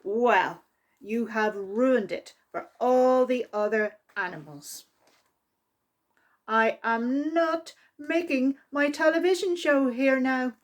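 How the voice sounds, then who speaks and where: A woman voicing a furious wildlife television presenter in a storybook, scolding indignantly with drawn-out emphasis. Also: a little high. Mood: huffy.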